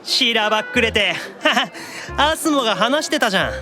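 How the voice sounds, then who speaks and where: A snarky, animated boyish demon. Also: male voice, extremely high pitch, moderate pace, music in the background. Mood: teasing. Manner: needling, boyish, stammers when embarrassed. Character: geeky, shy yet snarky young male demon. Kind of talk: anime-style audio drama acting.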